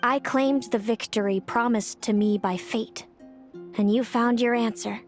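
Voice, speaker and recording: A woman playing a solemn, fatalistic young seeress in a video game, speaking in a measured, brooding, formal way. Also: fairly high pitch, steady tone, faint music behind, lightly acted. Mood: softly glad. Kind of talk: dramatic video game voice acting.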